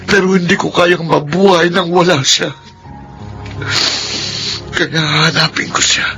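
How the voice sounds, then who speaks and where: A man's voice: high voice, fairly even intonation, a little slow, gravelly, over music. A man playing an ardent, defiant young man in a Filipino radio romance drama, speaking emphatically and earnestly with a Filipino accent. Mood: anguished, defiant.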